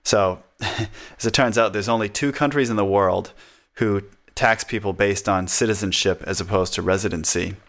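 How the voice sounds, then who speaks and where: A man from the United States talking casually in a relaxed conversation, chatty and self-deprecating, laughing often between points. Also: fairly low pitch, moderate pace.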